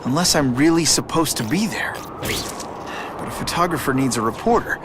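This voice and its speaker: An actor playing a young superhero in a video game, talking to himself in quick, joking quips. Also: male voice, a little high, expressive, noisy background, natural voice. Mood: wry. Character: wisecracking young male superhero. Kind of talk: video game cutscene dialogue.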